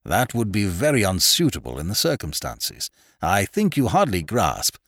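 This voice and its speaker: A fussy older gentleman lawyer as a male narrator plays him, formal and courteous. His intonation swings widely and he can sound reproving. The recording is dry. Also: fairly low pitch, sing-song, moderate pace, dry recording, pitched above the performer's own voice.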